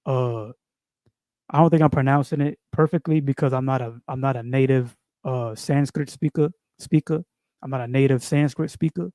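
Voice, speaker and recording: A man's voice: mid-range voice, fairly even intonation, normal speed, warm tone, no room sound. Rambling New man, dry close sound. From New York. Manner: rambling, repeats phrases, frequent fillers and slang. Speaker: video creator. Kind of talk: casual spiritual monologue.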